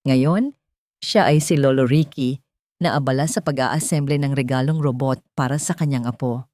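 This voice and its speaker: A woman with a Filipino accent reading a scripted dramatic story in a sentimental, measured storytelling delivery. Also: very deep voice, warm tone, dry recording, studio quality.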